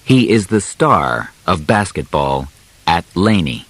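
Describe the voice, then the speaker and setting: A man narrating an audiobook, reading a simple scripted story in deliberate, clearly separated short phrases. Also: low voice, very animated, no room sound.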